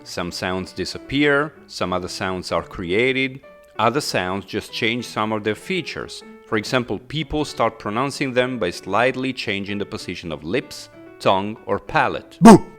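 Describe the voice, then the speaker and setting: Man, Italian accent, native Italian speaker, fairly low voice, teaching linguistics, scripted narration, clear and explanatory, playful asides, faint music behind. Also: lively intonation, unhurried, a bit bright.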